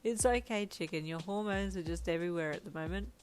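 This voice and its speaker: A woman's voice: on the low side, brisk, darkish tone, a little hiss or hum, close to an everyday voice. A woman voicing a doting, cheerful boyfriend in a romance story, tender and eager. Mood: soothing. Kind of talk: character voice in romance story reading.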